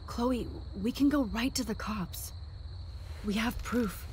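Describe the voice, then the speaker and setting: A woman's voice: on the high side, slow pace, a little mellow, a little hiss or hum. An actress playing an earnest, anxious young female student and loyal friend in a naturalistic video game.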